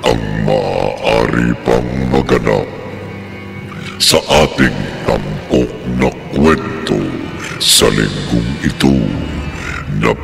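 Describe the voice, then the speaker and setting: An actor playing a sinister radio horror host, narrating in a drawn-out, menacing, theatrical way. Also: male voice, rumbling low, vocal fry, exaggerated voice. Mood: sinister, grave. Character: sinister, ominous male horror storyteller greeting listeners. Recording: long cavernous reverb, music behind.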